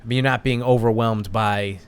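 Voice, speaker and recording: A man's voice: fairly low pitch, steady tone, brisk, smooth. A man co-hosting a podcast, chatting casually over a beer and rambling with restarts. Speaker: podcast co-host. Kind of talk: casual chat over beers.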